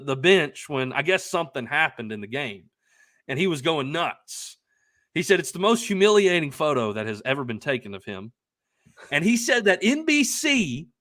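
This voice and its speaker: A man's voice: high voice, pushed and bright, no room sound. Young guy podcast host telling a rambly story, gets worked up and emphatic, close dry sound. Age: young adult. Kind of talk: casual anecdotal storytelling.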